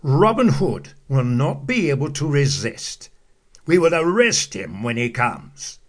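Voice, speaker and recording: A man voicing a blustering, scheming sheriff in a children's storybook, slow and husky. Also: fairly high pitch, sing-song. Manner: loud, commanding, plotting.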